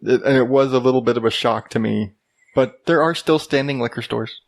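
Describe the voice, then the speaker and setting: A man in his early thirties co-hosting a casual podcast chat with wry, deadpan jokes. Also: medium pitch, brisk, gravelly. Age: 30s. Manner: dry, wry joking. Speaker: podcast co-host. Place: home in Arizona.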